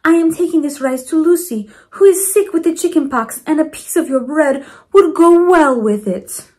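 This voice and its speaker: A woman's voice: sits high, normal speed, a little mellow, voice pushed up, close to an everyday voice. A woman voicing a kindly, eager grandmother in a children's storybook, asking politely and sweetly.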